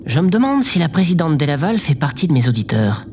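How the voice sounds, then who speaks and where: Man as an animated, insecure amateur podcaster. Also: a little high, quick pace, a little hiss or hum. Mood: wistful. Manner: chatty, theatrical, self-effacing. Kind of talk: scripted audio drama.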